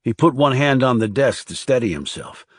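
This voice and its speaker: A man's voice: medium pitch, expressive, brisk, breathy edge, dry recording, clean studio sound. A man narrating a thriller audiobook from a script.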